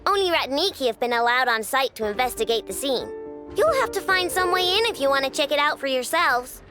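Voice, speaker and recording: A woman's voice: high-pitched, lively intonation, brisk. An actress playing a brash, cocky young female inventor in a video game, with a casual, slangy manner. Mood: matter-of-fact. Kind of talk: video game cutscene voice acting. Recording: music behind the voice.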